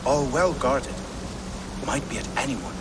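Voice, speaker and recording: An actor playing an eccentric, eager, jittery Norse outcast in a video game, halting and sing-song, repeating himself. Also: male voice, mid-range voice, lively intonation, heavy background noise, natural voice. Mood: conspiratorial. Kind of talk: video game character acting.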